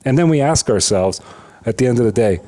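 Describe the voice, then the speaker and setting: A man's voice: medium pitch, expressive, fairly quick, mellow. A man, a chiropractor, explaining health topics as a podcast guest, talking with energy and frequent restarts and repeated words. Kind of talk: conversational interview, explaining.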